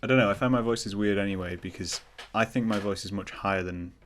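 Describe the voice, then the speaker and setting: A man's voice: fairly low pitch, fast, a little hiss or hum. A man hosting his podcast, chatting casually with an old friend in a laid-back, teasing way. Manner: laid-back, jokey, trails off into laughs. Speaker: podcast host. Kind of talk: casual chat.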